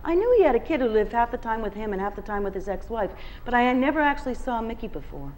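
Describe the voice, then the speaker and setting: Expressive woman reading fiction aloud. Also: fairly high pitch, quick pace, slight background noise, rough recording. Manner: expressive first-person storytelling. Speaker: guest reader. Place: bookstore reading before an audience.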